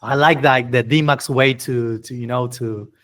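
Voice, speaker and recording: A man hosting an informal tech community presentation, speaking enthusiastically in halting, repetitive English with non-native-sounding phrasing. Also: mid-range voice, steady tone, a bit bright. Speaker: meetup host presenting project proposals.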